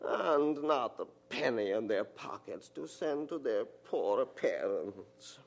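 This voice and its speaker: Stern old German father, creaky, slow. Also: medium pitch, expressive, full character voice. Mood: indignant. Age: older. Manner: emphatic, guilt-tripping, inverted foreign-sounding phrasing. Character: stern, self-pitying old German father, stubborn and grasping. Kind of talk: radio drama acting.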